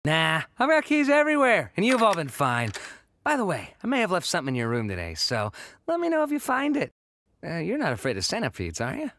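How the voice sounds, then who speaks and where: A man's voice: high-pitched, huge pitch swings, brisk, heavily acted. A man voicing a mischievous, smug cartoon prankster with a teasing way of talking. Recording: loud noise over clip.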